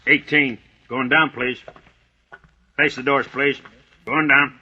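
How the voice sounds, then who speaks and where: An actor playing an elevator operator calling out floors in a rote, sing-song way in an old radio drama. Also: male voice, mid-range voice, fairly even intonation, slow pace, lo-fi sound, a crowd in the background, lightly acted. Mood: routine.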